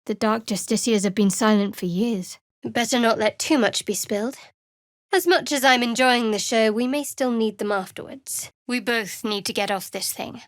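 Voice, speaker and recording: A woman's voice: fairly high pitch, moderate pace, no echo, natural voice. An actress voicing a guarded, sardonic young female cleric in a video game, with a dry, wry manner. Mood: wry, teasing. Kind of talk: video game character voice acting.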